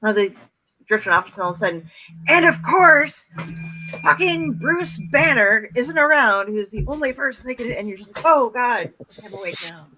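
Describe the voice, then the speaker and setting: A woman's voice: fairly high pitch, animated, moderate pace, pushed and bright, a little hiss or hum, poor audio. A woman hosting a casual video-call chat about storytelling, rambling with fillers and restarts. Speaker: host.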